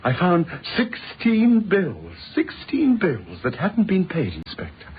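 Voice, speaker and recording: An actor playing a distraught British novelist in an old radio drama, agitated and stammering, with a strained, husky voice. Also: male voice, high voice, huge pitch swings, takes it slowly, slight background noise, full character voice. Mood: fretful, fixated. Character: distraught, confused male novelist confessing to murdering his wife. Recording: muffled old radio recording.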